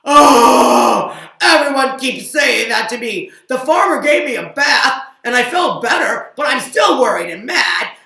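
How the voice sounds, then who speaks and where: A woman voicing a worried, grumpy male cow in an improvised children's story, shouting her complaints in a high, strained voice. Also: gravelly, distant mic, heavily acted. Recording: roomy echo, a little off-mic.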